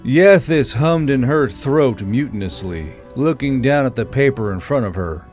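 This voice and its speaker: Mid-pitched male story narrator. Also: lively intonation, normal speed, a little mellow, faint music behind.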